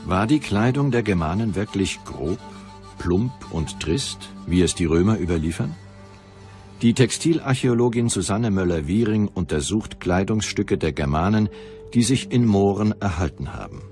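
A male documentary narrator with a very low voice. He reads scripted lines in a measured, deliberate way while music plays clearly behind him. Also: lively intonation, darkish tone.

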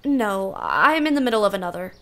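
A woman's voice: mid-range voice, expressive, brisk, fairly bright tone. A woman playing a proud, wry, refined aunt in a period radio play, with formal, precise diction. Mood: annoyed, polite.

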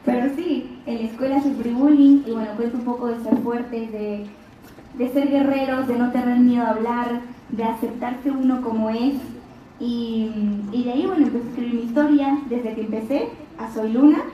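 A woman's voice: a little high, steady tone, moderate pace, muffled recording, a little hiss or hum. A young woman with a slight Argentine accent, talking earnestly to a live crowd from an auditorium stage. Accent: Argentine Spanish, slight. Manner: earnest, encouraging, speaks straight to the crowd. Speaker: actress speaking at a fan event. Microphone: handheld mic, recorded from the audience on a phone. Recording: distant, echoey auditorium audio from a phone. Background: crowd ambience.

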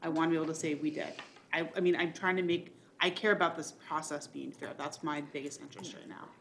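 A woman's voice: low voice, steady tone, fast talker, a bit bright. A woman board member deliberating earnestly and emphatically at a public meeting. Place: public meeting room, indoors. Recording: noisy meeting room recording.

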